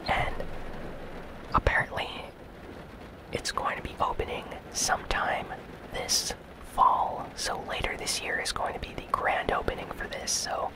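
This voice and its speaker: A host whispering gaming news ASMR-style in a soft, breathy, unvoiced whisper, with a gentle, casual, unhurried delivery. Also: rough recording. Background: rain sounds.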